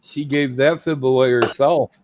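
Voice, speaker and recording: A man's voice: mid-range voice, steady tone, unhurried, a little mellow. A man in his fifties chatting as a guest on a live stream, friendly and plainspoken. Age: 50s. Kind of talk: casual chat. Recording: dull lo-fi audio with faint noise.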